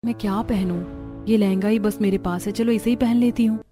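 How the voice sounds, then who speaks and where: A woman's voice: mid-range voice, fairly even intonation, brisk, dark tone, soft background music. A woman voicing characters in a cartoon family story in an earnest, pleading tone. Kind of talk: cartoon dialogue acting.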